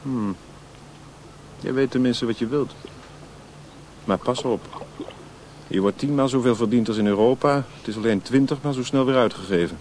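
An actor playing a world-wise, withdrawn man in a Dutch radio drama, speaking in a measured, reflective, cautionary way. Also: mid-range voice, darkish tone, close to an everyday voice. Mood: cautioning. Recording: noisy old radio play recording.

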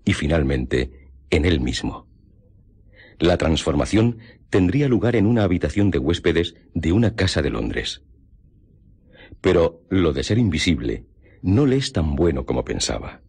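Man, Castilian Spanish, scripted dramatic narration, deep rough-edged voice, measured, suspenseful, wide pitch movement. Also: big pitch swings, darkish tone. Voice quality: deep, husky.

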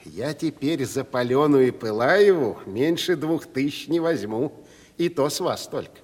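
An actor in a theatrical radio play, playing a sly, stubborn provincial timber merchant with a folksy, mock-deferential way of haggling. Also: male voice, medium pitch, lively intonation, a little slow, darkish tone. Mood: stubborn, smug. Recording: reverberant 1970s radio play studio.

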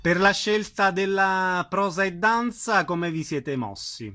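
Bright, high-voiced male radio host. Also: slow. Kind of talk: radio arts interview hosting.

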